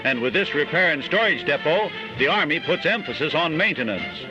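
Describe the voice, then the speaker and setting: A man's voice: a little high, lively intonation, a little fast. A man with an American accent reading formal scripted narration as a 1940s newsreel announcer. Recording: muffled 1940s newsreel soundtrack. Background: loud music.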